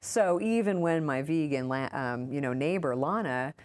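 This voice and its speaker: Low voiced woman being interviewed, casual and chatty, tells little stories and acts out what people say. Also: animated, normal speed, a little mellow. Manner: informal, acts out quoted remarks. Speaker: interview guest, author and urban farmer. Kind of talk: conversational interview answers, anecdotal.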